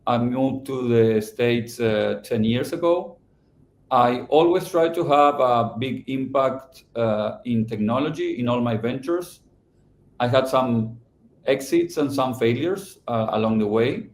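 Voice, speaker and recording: A middle-aged man from Argentina, answering podcast interview questions about his company, halting and restarting often. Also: medium pitch, echoey room. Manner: halting, with many restarts and fillers. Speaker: company founder and CEO.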